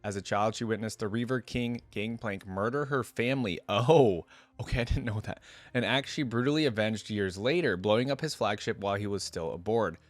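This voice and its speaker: A man with a fairly low voice, recorded dry and close to the ear. He talks like an informal reaction host, reading text aloud and thinking out loud about it in a casual way. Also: a little fast, no echo. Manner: informal, musing aloud between read passages. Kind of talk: casual reaction commentary mixed with read-aloud story text.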